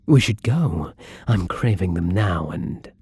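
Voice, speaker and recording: A man playing a lonely, gentle vampire in an intimate ASMR roleplay, speaking softly and haltingly with a wistful tenderness. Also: low voice, lively intonation, husky, no echo, studio quality, full character voice. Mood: sad, resigned.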